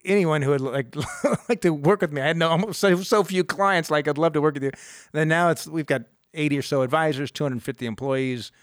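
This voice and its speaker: A man's voice: fairly high pitch, fairly quick, no room sound, clean studio sound. A middle-aged man hosting a radio finance show, talking casually, rambling with restarts and easy laughs.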